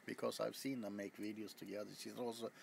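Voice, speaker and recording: A man's voice: fairly low pitch, little pitch movement, fairly quick, gravelly, slight background noise. A man chatting casually to his viewers as a video host, rambling with frequent stammers, restarts and casual swearing. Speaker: ASMR YouTuber.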